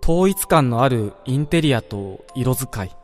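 A man playing a wistful dreamer in a radio drama, telling of his own dream in a measured, reflective first-person narration. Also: medium pitch, animated, a little mellow, close to an everyday voice. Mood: reflective, calm. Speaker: narrator-protagonist. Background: background music.

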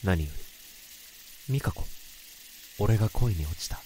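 A man's voice: low voice, expressive, measured pace, mellow, breathy edge, full character voice. A man playing a tormented, brooding office worker. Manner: inner monologue that swings into frantic outbursts. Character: tormented male office worker who hears others' thoughts. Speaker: narrator and protagonist. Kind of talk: radio drama acting.

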